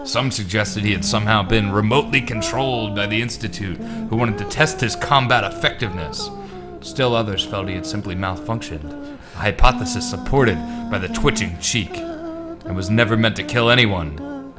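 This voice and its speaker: A man puts on a melodramatic reporter narrating a sinister exposé. His delivery is theatrical and mock-serious, with pitch that swings widely. Loud guitar music runs underneath. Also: big pitch swings, moderate pace. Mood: grave, portentous. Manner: theatrical, portentous, swooping. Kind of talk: mock-serious dramatic reading of a news article.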